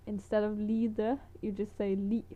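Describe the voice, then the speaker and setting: Slow, soft Danish woman with a muffled mic. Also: medium pitch, level tone. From Denmark. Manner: hesitant, many fillers and restarts, laughs often. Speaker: language teacher vlogger. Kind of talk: casual vlog lesson.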